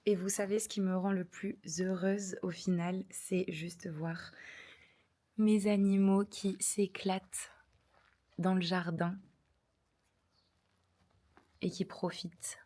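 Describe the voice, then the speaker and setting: A woman's voice: medium pitch, flat delivery, slow pace, long pauses, warm tone. Chatty woman vlogger, rambling casually. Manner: chatty, rambling with many fillers. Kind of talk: casual vlog chat to camera.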